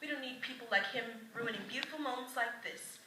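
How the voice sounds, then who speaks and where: A woman's voice: on the high side, fast, natural voice. Woman playing a haughty gossipy town lady, echoey. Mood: scornful gossiping. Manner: effusive, haughty, busybody exclaiming. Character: gushing, judgmental gossipy married small-town lady, 1900s. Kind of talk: theatrical stage monologue. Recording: echoey room from far off, noisy.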